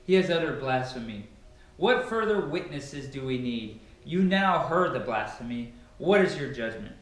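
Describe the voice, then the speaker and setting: A man playing a stern, accusing high priest in a dramatic scripture reading, formal and demanding. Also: lively intonation, normal speed, a bit bright, far from the mic, natural voice. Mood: outraged. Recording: heavily echoing room.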